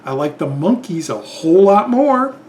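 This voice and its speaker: A man's voice: high voice, very animated, moderate pace, a little mellow, slight background noise. A man, casually rambling through a tag video with lots of fillers and restarts. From Chicago, Illinois. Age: 50s. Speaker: YouTube channel host.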